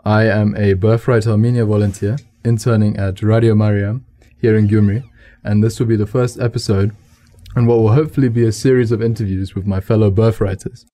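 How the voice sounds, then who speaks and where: A young man hosting a radio interview, polite and measured, with frequent affirming murmurs. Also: fairly low pitch, fairly even intonation, mellow.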